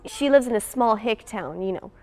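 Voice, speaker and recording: A woman's voice: a little high, lively intonation, moderate pace, low-quality recording. A teenage female student actress chatting expressively about her character in an interview. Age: teens. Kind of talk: casual interview.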